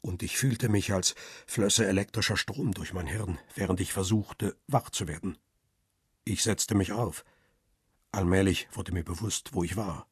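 An actor playing a wary middle-aged paparazzo who tells his own story in a radio crime drama, husky and terse. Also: low voice, unhurried, no room sound, clean studio sound, full character voice. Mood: reflective. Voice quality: husky, rough. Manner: reflective, terse first-person narration.

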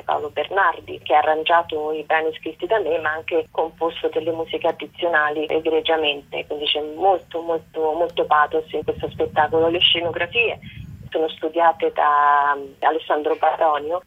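A woman's voice: low-pitched, husky. A woman, interviewed over the telephone as a stage author and actress promoting her own show. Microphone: phone call. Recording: muffled, narrow telephone line.

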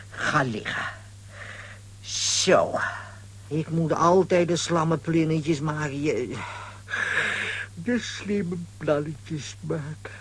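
An actor playing a bumbling, lazy, self-important cartoon hero in a children's audio play, with a husky voice. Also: male voice, fairly high pitch, a bit bright, full character voice.

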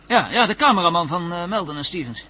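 A jittery cameraman on the run in a radio play. His voice is high and lively, with quick, colloquial, excitable delivery. The old recording is clearly noisy. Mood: uneasy, conversational. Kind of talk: Dutch radio drama acting.

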